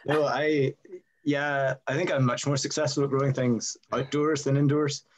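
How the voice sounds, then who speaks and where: A man's voice: mid-range voice, little pitch movement, normal speed. A man chatting casually on a video call, a bit hesitant, with lots of ums. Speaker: guest. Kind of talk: casual chat.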